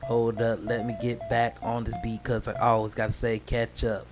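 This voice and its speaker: A man's voice: mid-range voice, completely flat delivery, normal speed, music in the background. A man doing casual reaction commentary as a host, slangy and joking, drifting into rambling asides.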